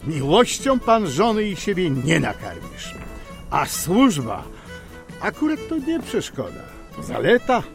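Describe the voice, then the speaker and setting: Jovial meddling magistrate, swooping pitch. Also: male voice, wildly swooping pitch, unhurried, gravelly, soft background music, heavily acted. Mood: teasing, persuasive. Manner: folksy, teasing, colloquial. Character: jovial, blunt, meddling town magistrate pushing marriage. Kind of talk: radio play acting.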